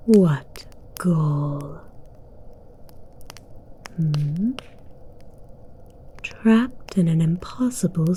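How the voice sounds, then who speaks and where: A woman voicing an imperious, amused dragon queen in a breathy, teasing whisper for an ASMR roleplay, speaking in a regal, formal way. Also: lowish voice, warm tone, slight background noise. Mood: amused, haughty.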